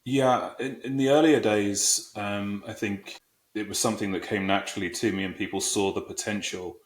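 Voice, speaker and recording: A man's voice: lowish voice, fairly even intonation, moderate pace, roomy sound. A middle-aged man talking as an interview guest, reflective and self-deprecating about his career. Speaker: guest, people and talent executive. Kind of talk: conversational interview.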